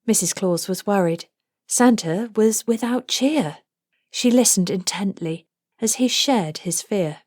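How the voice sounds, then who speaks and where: A woman narrating a children's storybook aloud, with deliberate, rhythmic delivery of rhymed phrases. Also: medium pitch, darkish tone, no echo. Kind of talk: scripted storybook read-aloud.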